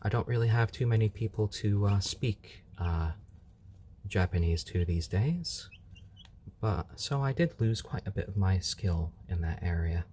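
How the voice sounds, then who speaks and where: Man, live-stream host, low mellow voice with a lively swing, easygoing chat, faint hum behind. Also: measured pace. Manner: easygoing, chatty, coos over the chicks. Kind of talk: casual live-stream chat answering viewer comments.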